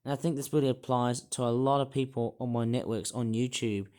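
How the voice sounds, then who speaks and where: Hoarse man rambling on. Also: mid-range voice, steady tone, a little fast, a little mellow. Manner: rambling, repetitive, hesitant, with many restarts. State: hoarse, croaky voice. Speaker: YouTuber. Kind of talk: rambling personal vlog monologue.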